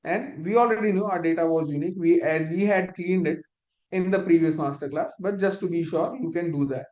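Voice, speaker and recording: A man's voice: on the high side, brisk, darkish tone, reverberant, dull audio. A man instructor leading a live online spreadsheet lesson, explaining step by step and often restarting his phrases.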